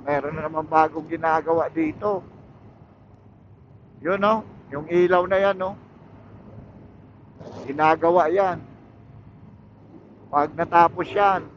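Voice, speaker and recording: A man's voice: on the high side, fairly bright tone. A man chats casually and slangily to his viewers as a motovlogger, talking while he rides. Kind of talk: casual vlog chat while riding. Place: outdoors on a motorcycle at night. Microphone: intercom microphone inside a helmet. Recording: muffled helmet intercom mic, wind and engine noise. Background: vehicle and wind noise.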